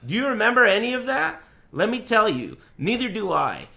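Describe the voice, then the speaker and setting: A man with a high, bright voice streams a video game in a roomy, echoey space. He rants casually and bluntly, with plenty of swearing and exasperated sarcasm. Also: sing-song, moderate pace, gravelly. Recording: roomy echo, faint background noise.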